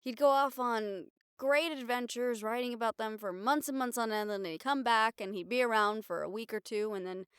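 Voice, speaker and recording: High-voiced wistful young girlfriend, acted by a woman. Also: smooth, no room sound, studio quality, lightly acted. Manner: halting, musing, expressive. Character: young female reporter girlfriend, wistful, bravely upbeat. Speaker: audio roleplay voice actress. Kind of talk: intimate audio roleplay acting, conversational monologue.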